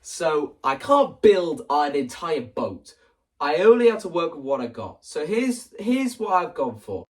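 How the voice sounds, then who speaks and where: A young man talking casually and explaining his creative ideas in an animated, theatrical way. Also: fairly high pitch, measured pace, far from the mic. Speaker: video creator presenting a stage play concept.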